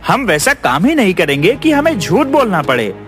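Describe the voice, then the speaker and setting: An actor playing an honest, simple, dutiful young elder brother in a children's cartoon story. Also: male voice, sits high, huge pitch swings, normal speed. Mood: reproachful. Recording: music behind the voice.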